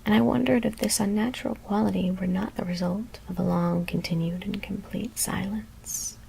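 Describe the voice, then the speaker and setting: A woman whispers a horror story in a hushed, deliberate ASMR reading. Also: medium pitch, fairly even intonation, gravelly, poor audio. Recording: faint background noise.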